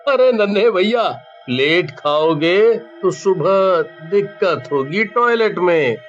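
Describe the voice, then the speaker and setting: A man's voice: high-pitched, expressive, drawn-out words, fairly bright tone, soft background music, exaggerated voice. A man voicing a jovial but scheming village cook and husband in a cartoon, drawling and blustery, on dull audio.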